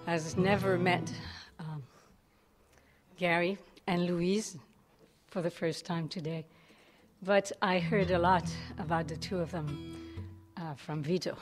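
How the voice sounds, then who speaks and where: A woman's voice: lowish voice, fairly even intonation, takes it slowly, pauses often, music in the background. A woman speaking and reciting a poem informally at a live tribute event.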